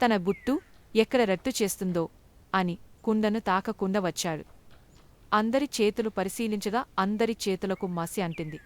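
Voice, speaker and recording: Female storyteller with mid-range pitch. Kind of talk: scripted children's moral story narration.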